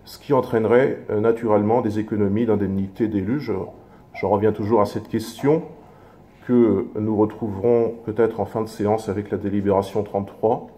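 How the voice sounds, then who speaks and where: A man speaking as an opposition councillor in a formal political speech, measured, with halting, self-corrected phrasing. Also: fairly low pitch, steady tone, dark tone.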